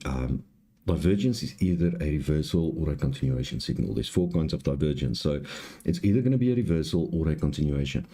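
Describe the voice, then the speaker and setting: Very deep, raspy man teaching. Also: warm tone. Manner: halting, explanatory, repeats points. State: hoarse, clearing throat. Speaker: trading live-stream host and instructor. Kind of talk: unscripted live tutorial.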